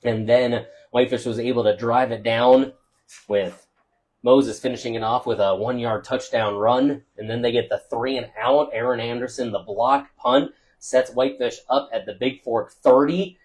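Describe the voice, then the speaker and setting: A loud, talkative man doing local radio sports commentary after a high school football game. He reels off scores, yardage and playoff seedings in a relaxed postgame wrap-up, with plenty of uh fillers. His pitch sits right in the ordinary middle for a man. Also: moderate pace. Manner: loud, chatty, rattles off scores and stats with frequent uh fillers.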